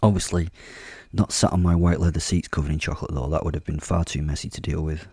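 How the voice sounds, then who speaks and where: A man's voice: a bass voice, level tone, fast, a little mellow, slightly rough, no echo, studio quality. A man narrating a first-person horror audio drama as host, brooding and wry with a menacing edge.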